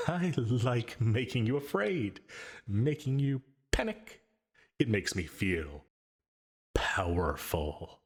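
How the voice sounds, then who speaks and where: A man's voice: medium pitch, sing-song, slightly rough, heavily acted. A man voicing a possessive, gleefully menacing sleep paralysis demon in an ASMR audio roleplay, taunting the listener. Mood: gloating, cruel. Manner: slow, drawn-out taunting with dark chuckles. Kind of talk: ASMR yandere roleplay, dramatic monologue.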